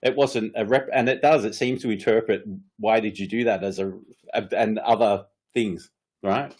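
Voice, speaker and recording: A man's voice: fairly low pitch, steady tone, moderate pace, darkish tone. A middle-aged man co-hosting a casual podcast, ranting with frequent swearing and laughter as he vents about frustrations. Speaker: podcast co-host. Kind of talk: casual chat.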